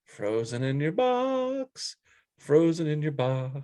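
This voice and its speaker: A man's voice: a little high, big pitch swings, slow, warm tone, no room sound, studio quality. A man co-hosting a sports podcast, recapping news and giving blunt, informal opinions in casual talk.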